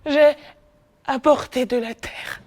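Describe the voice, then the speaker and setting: A woman performing a poetic theatre monologue on stage, incantatory, moving between whispers and loud declamation. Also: high voice, fairly even intonation, takes it slowly.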